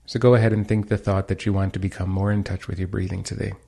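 A man leading a guided meditation, giving calm, gentle instructions with pauses. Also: low voice, gravelly.

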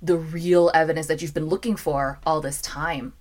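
A woman in her thirties hosting a podcast and chatting casually, talking in quick bursts. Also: low-pitched, steady tone. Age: 30s. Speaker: podcast host. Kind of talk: casual interview chat.

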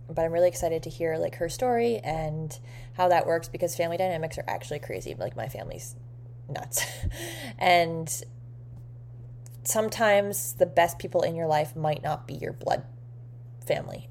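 A woman's voice: low-pitched, creaky, a little mellow, a little hiss or hum. A Canadian woman hosting a podcast, chatting casually and upbeat with plenty of fillers. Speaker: podcast host. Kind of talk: casual interview chat.

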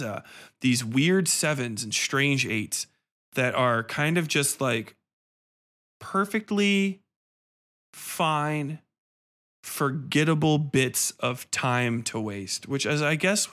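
Male reviewer-host, medium pitch. Unscripted solo monologue, colloquial and blunt, swears freely. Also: a bit bright. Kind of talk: casual off-the-cuff review monologue.

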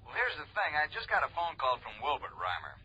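An actor playing a brisk, chummy insurance company man with a raspy voice over a telephone in a vintage radio drama. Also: on the high side, wildly swooping pitch, exaggerated voice. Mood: brisk, urgent. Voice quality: raspy, sharp. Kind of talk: 1960s radio drama acting. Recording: dull old radio, telephone sound.

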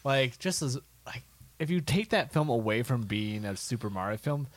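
A man's voice: mid-range voice, lively intonation, moderate pace, dry recording. A man co-hosting a podcast, chatting casually in a laid-back manner. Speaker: podcast co-host. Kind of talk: casual chat.

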